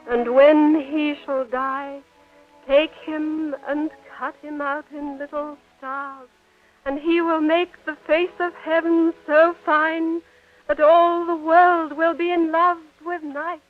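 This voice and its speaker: An actress playing an earnest, frightened young stage debutante in 1940s radio drama style. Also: female voice, high-pitched, level tone, slow, soft background music. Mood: dreamy, theatrical. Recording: muffled 1940 radio drama recording.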